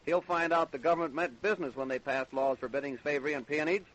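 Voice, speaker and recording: An actor playing a skeptical federal investigator in a radio drama, authoritative and probing. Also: male voice, fairly high pitch, steady tone, fast talker, noisy background, close to an everyday voice. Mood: determined. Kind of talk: old-time radio drama acting.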